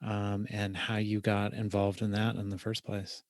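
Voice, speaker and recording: Guy hosting a podcast, low voice, dry close mic, friendly. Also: completely flat delivery, measured pace, dry recording. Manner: friendly, encouraging interviewer. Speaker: podcast host.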